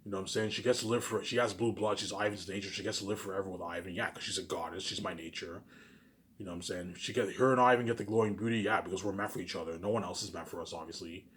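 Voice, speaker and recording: A man's voice: low-pitched, very fast, a bit bright, a little hiss or hum. A man in a rambling informal monologue to viewers, boastful and circling back over the same phrases. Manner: boastful, self-aggrandizing.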